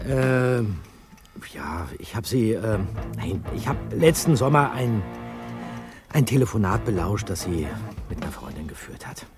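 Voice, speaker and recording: A man's voice: fairly low pitch, big pitch swings, a little slow, music in the background. A man playing a thoughtful, sensitive teenage detective sidekick in a German audio drama, with an inquisitive, conversational delivery. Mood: awkward, hurt.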